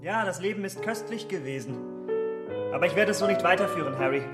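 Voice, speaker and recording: An actor in a German radio drama playing a troubled, youthful gentleman, speaking with grave, earnest deliberation. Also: high voice, expressive, fast, loud music behind the voice. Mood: confessional, uneasy.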